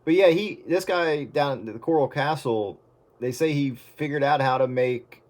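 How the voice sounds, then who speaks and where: A man's voice: medium pitch, normal speed, a little mellow. A man chatting casually and musingly with friends over CB radio. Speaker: participant in CB radio chat.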